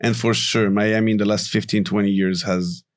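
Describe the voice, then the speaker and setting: A man from Morocco, a company CEO, talking casually as a podcast guest and explaining things in a relaxed way with frequent asides. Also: fairly low pitch, moderate pace. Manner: relaxed, explanatory, tags sentences with "right?" and "you know". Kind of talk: casual interview talk.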